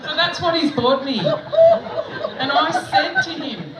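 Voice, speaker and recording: A woman's voice: sits high, huge pitch swings, slow pace, a loud crowd. A middle-aged Australian woman doing stand-up, telling self-mocking stories in a chatty way with frequent laughter, recorded at an outdoor festival stage. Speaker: stand-up comedian. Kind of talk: stand-up comedy set. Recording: echoey, distant mic with background noise.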